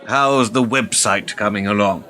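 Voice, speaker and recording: Bright-voiced, cynical ageing fixer. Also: male voice, fairly low pitch, a little slow. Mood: smug, teasing. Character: ageing, smooth-talking, cynical male political fixer. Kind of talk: radio drama acting.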